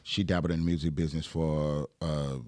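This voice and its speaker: A man's voice: very deep voice, level tone, fairly quick, dark tone, no echo, studio quality. A man in his thirties with an Atlanta accent, telling stories as a guest in a casual podcast interview, laid-back with lots of fillers. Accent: Southern US, Atlanta. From Atlanta, Georgia. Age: 30s. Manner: laid-back storytelling with frequent fillers and laughs. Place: podcast studio.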